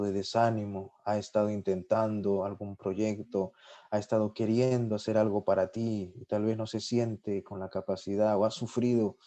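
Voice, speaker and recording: A man's voice: on the low side, dark tone. A man whose first language is Spanish, a podcast guest leading a spontaneous spoken prayer, fervent and filled with repeated "Lord" and "amen". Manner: fervent, repeats "Señor" and "amén" often. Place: lives in Sweden.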